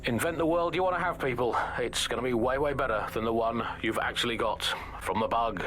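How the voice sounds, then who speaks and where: Guy acting as an exasperated satire radio host, theatrical rants, mid pitch, bit of noise behind. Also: fairly quick, close to an everyday voice. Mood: mock triumphant. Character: exasperated male satirist hosting pirate radio comedy show. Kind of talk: satirical comedy banter and mock news.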